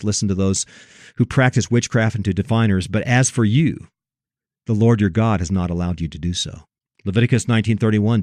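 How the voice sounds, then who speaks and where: A man's voice: fairly low pitch, darkish tone, dry recording, studio quality. A man giving sermon-like religious commentary and scripture readings, preachy and emphatic, repeating phrases for weight.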